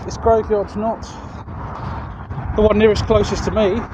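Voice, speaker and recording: High-voiced southeast English man, muffled street audio. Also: flat delivery, a little slow. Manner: rambling, hesitant. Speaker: vlog host. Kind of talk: casual chat to camera while walking. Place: outdoors walking along roads. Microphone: handheld external microphone. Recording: muffled outdoor street audio, loud traffic noise.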